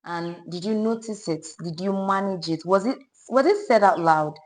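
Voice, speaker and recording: A woman's voice: mid-range voice, moderate pace. A woman hosting a podcast interview, chatty and often finishing her guest's sentences. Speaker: podcast host.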